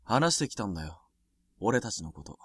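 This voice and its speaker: A young man playing a bitter, jealous high school boy in a Japanese voice drama, with a cutting, taunting way of talking. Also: very animated, a little fast, slightly rough, dry recording. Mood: cool, provocative.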